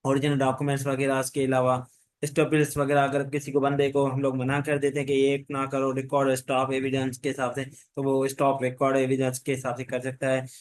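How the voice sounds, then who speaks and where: A man's voice: mid-range voice, monotone, fairly quick, no room sound. A man giving an informal revision lecture, mixing English technical terms into his speech, with repetitive, loosely strung explanations. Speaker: law lecturer.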